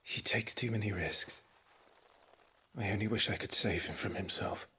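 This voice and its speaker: Husky, earnest young knight. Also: male voice, fairly low pitch, normal speed, pushed and bright, heavily acted. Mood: earnest, subdued. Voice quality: husky, breathy. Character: earnest, dutiful teenage knight, sworn protector. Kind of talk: video game cinematic acting.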